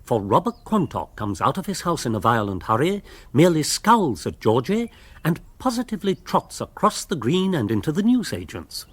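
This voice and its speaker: Animated English male storyteller. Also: a little high, moderate pace, slightly rough. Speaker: narrator. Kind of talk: scripted, wry storytelling narration in a radio drama.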